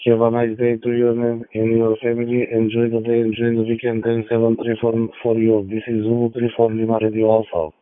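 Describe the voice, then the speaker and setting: A man's voice: medium pitch, completely flat delivery, fairly quick, few pauses, no room sound. A man with a Macedonian accent making a friendly ham radio exchange over a radio link. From North Macedonia. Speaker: amateur radio operator. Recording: thin narrowband digital radio transmission.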